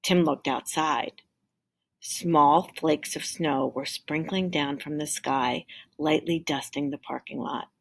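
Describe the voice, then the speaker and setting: A woman reading a children's picture book aloud like a teacher, with deliberate, expressive emphasis. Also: low voice, vocal fry. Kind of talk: expressive storybook read-aloud.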